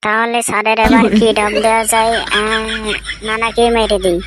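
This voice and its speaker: A woman's voice: on the high side, completely flat delivery, moderate pace, very noisy, natural voice. A woman voicing a cheeky, fibbing cartoon schoolboy, sly and whiny as he makes excuses. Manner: sly, whining, pleading. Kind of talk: comedy cartoon dialogue.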